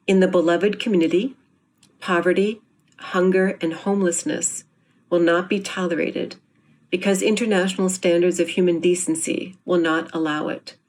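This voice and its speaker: Woman, slightly low pitch, scripted explanatory reading, formal, measured phrasing. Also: darkish tone, low-quality recording. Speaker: performer presenting an introduction.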